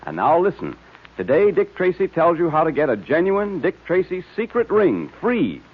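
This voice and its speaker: A man's voice: on the high side, wildly swooping pitch, normal speed, slightly rough. A man announcing and narrating in the style of a 1940s radio serial, with an emphatic, salesmanlike delivery. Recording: tinny old radio recording.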